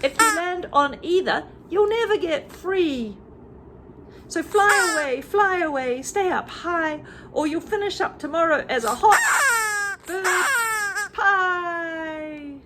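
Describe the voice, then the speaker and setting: A woman's voice: very high-pitched, animated, takes it slowly, pushed and bright, a little hiss or hum, pitched above the performer's own voice, heavily acted, rough recording. A woman voicing a friendly bird chanting a rhyming warning in a storybook reading. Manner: sing-song, rhyming. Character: friendly bird, sing-song warning in rhyme.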